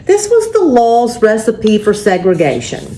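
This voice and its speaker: A woman hosting a story time and reading to families in a measured, deliberate, expressive way. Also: on the high side. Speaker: church story time host and reader. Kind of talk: children's picture book read-aloud with spoken introduction. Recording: faint background noise.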